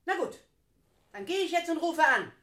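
A woman's voice: sits high, expressive, normal speed, bright, forceful tone. An actress playing a determined, exasperated mother in a German radio drama, speaking in an insistent, threatening manner.

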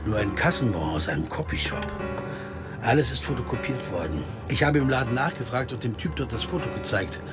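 Male actor as earnest amateur sleuth, radio crime drama, mid-pitched voice, dialogue and first-person narration, clear music underneath. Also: lively intonation, brisk, lightly acted. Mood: intent, brooding. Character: earnest American man investigating a disappearance, narrating his search. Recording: music behind the voice.

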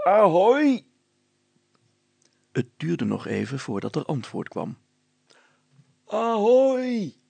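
A man's voice: lowish voice, creaky, a little mellow, slightly rough, no echo. A man reading a children's story aloud as a scripted audiobook narration.

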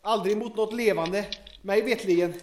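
Guy playing squeamish nervous sleuth, really high male voice, echoey room, radio play style. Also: a little mellow, a little hiss or hum, natural voice. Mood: queasy, reluctant. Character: squeamish, queasy male amateur investigator, eager to conclude. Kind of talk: audio drama acting. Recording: roomy, echoing sound.

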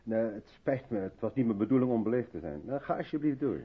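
A man's voice: medium pitch, expressive, quick pace, breathy edge. An actor playing a polite, reserved South African man in a 1970s Dutch radio play, speaking with attentive restraint. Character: courteous, reserved South African man living in modest room. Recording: dull vintage radio recording, music behind.

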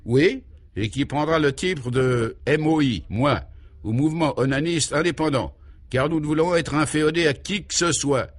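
A man's voice: fairly high pitch, normal speed, voice pushed up, natural voice. A grandiloquent male zealot, put on by a radio narrator, orating for a comic serial. He is pompous and fanatical, stressing his words like a tribune on a platform. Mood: solemn, proud. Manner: emphatic, declamatory, grandiloquent. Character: pompous, fanatical male party militant, bombastic orator.

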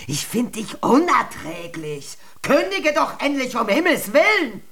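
A woman's voice: a little high, big pitch swings, unhurried, a crowd in the background. An actress playing a bored, irritable, imperious queen in a radio play, with a blunt and scornful manner. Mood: exasperated.

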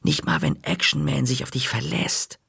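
A man's voice: medium pitch, very animated, normal speed, creaky, fairly bright tone, slightly rough, dry recording, studio quality, voice pushed up, exaggerated voice. A man voicing a cheeky, teasing older brother who mocks the narrator in a storybook reading. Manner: mocking, smug.

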